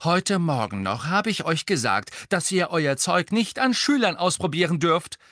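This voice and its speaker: A man's voice: medium pitch, big pitch swings, normal speed, sharp tone, dry recording, studio quality. A man voicing a strong-willed teenage schoolgirl in an audiobook story, bossy and indignant, speaking in an emphatic, scolding way.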